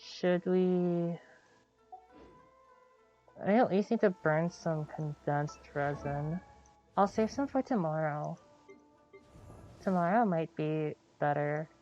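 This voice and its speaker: A woman's voice: low-pitched, takes it slowly, pauses often, dark tone, dull audio. A woman chatting casually on a game livestream, thinking aloud as she plays and often correcting herself. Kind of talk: casual livestream gameplay chat. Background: video game music.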